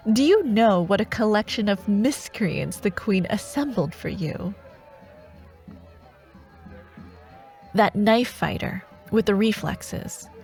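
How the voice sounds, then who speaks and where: Woman playing a slow, taunting female dark warlord. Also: mid-range voice. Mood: amused, scornful. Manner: teasing, taunting, slow and deliberate, slipping toward whispers. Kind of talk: scripted fantasy ASMR audio roleplay.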